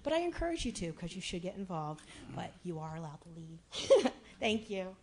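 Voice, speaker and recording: A woman with a raspy voice addressing a city commission meeting in a chatty way, with many fillers and restarts. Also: on the low side, big pitch swings, moderate pace, darkish tone.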